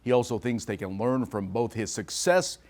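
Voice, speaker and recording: A man's voice: medium pitch, lively intonation, moderate pace, darkish tone, no echo. Male TV news feature narrator. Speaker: news reporter and anchor.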